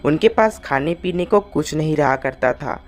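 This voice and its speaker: धीमे सुर वाली महिला कहानी-वाचक. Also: female voice, deep voice, animated, normal speed. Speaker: narrator. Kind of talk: scripted storytelling narration.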